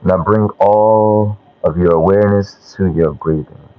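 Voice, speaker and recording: A man narrating a guided meditation in a measured, soothing way, with long pauses between short phrases. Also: deep voice, fairly even intonation, a little hiss or hum. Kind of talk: slow spoken guided meditation. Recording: dull lo-fi sound, music underneath. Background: meditation music.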